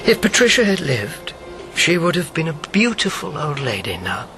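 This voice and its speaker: A man's voice: on the high side, big pitch swings, normal speed. An actor playing a wistful, wry former secret agent in his seventies, courteous in a radio drama. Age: 70s. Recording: radio drama with loud background music.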